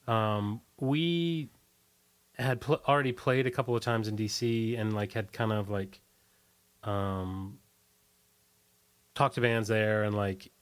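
A middle-aged American man reminiscing casually as an interview guest, talking in a halting, rambling way with lots of "like". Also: lowish voice. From Washington, D.C., USA. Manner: halting, hesitant, rambling, frequent "like".

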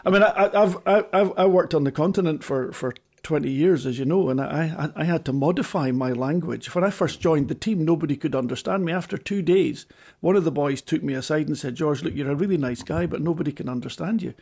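A Scottish man in middle age talks casually as a podcast panellist. His voice sits a little high, and his accent is softened, with halting, repeated starts. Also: husky. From Scotland. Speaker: podcast panellist, former rally team boss. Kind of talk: casual chat.

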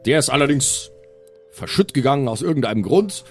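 A man's voice: mid-range voice, animated, brisk, soft background music. A man improvising as a player in a tabletop role-playing audio show, switching between table talk and in-character speech.

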